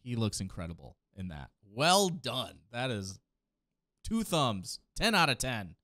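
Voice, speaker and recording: Expressive male streamer reacting casually. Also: medium pitch, measured pace, dry recording, studio quality. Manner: enthusiastic, slangy. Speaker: streamer reacting to game trailers. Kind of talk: casual live reaction commentary. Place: small home streaming room. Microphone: close desk microphone. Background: faint game trailer music.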